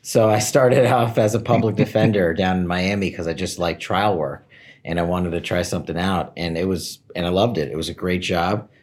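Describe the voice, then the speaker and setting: A man's voice: low-pitched, fairly even intonation, normal speed, a little mellow. A man in his forties, a guest in an interview, telling his career story in a candid, self-deprecating way and laughing at how his plans turned out. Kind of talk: relaxed conversational storytelling.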